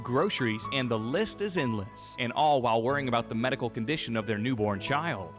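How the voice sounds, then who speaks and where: A man reading a scripted charity appeal as an advert narrator. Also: medium pitch. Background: music under the voice.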